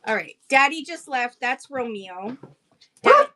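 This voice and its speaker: Chatty Canadian woman, higher voice. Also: lively intonation, unhurried, pushed and bright. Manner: chatty and laughs easily between points. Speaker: livestream seller and host. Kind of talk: casual livestream teaching.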